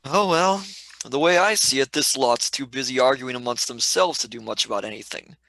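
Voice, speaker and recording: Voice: medium pitch, a little fast, creaky, fairly bright tone, dry recording, low-quality recording. An actor playing a down-to-earth young male companion in a scripted radio play, blunt and colloquial. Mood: matter-of-fact.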